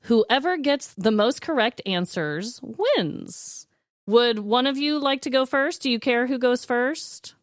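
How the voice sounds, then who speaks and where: A woman hosts a podcast game with casual banter. Her voice sits a little high and swings widely in pitch. It is recorded close and dry. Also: expressive, slow pace, no echo. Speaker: podcast host.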